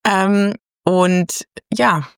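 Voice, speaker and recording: A woman's voice: medium pitch, steady tone, extremely slow, smooth, no room sound, studio quality. A woman in her thirties hosting a casual podcast chat, talking loosely with many fillers and small laughs. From Germany. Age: 30s. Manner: chatty, many fillers, laughs between phrases. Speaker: podcast host.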